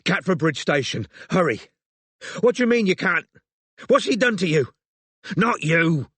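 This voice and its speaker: A man voicing a gruff, frantic male investigator in an audiobook, urgent and quick to swear and bellow. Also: fairly high pitch, expressive, a bit bright, dry recording, voice pushed up. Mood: bellowing, desperate. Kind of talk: audiobook character acting.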